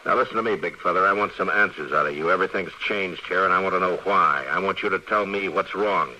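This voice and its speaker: An actor with an American accent playing a steady, authoritative frontier lawman in an old radio western, asking direct, probing questions. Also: low voice, fast. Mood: firm, probing. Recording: faintly noisy vintage radio recording.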